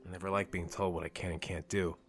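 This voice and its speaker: Man acting a bitter teenage boy, fairly deep, grumbling, sarcastic, stubborn, audio drama. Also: flat delivery, fast, a bit bright, soft background music. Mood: wry, guarded.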